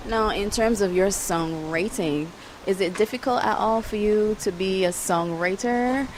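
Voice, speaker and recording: A woman's voice: medium pitch, unhurried, a little mellow, a little hiss or hum, low-quality recording. A woman interviewing an artist for a magazine, upbeat and affirming. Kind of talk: casual interview. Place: outdoor arts event.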